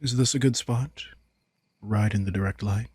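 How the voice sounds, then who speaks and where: A man's voice: fairly low pitch, moderate pace, darkish tone, husky, no room sound. A man playing a vampire prince in an intimate roleplay audio, a teasing, possessive but protective keeper who talks conversationally. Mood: caring, reassuring. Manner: conversational, teasing, swearing in concern.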